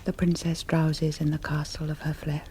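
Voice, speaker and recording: An actress playing a languid, melancholy young countess of vampire blood in a theatrical seventies radio drama. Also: female voice, deep voice, completely flat delivery, normal speed, warm tone, slightly rough. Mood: dreamy anguish. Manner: theatrical, incantatory, swooning. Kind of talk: 1970s radio drama acting.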